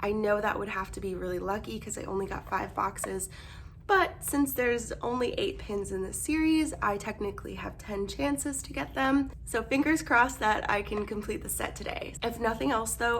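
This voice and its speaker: A young woman vlogger chatting casually through an unboxing, bubbly and gushing, her voice hoarse as she loses it. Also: medium pitch, normal speed, slight background noise.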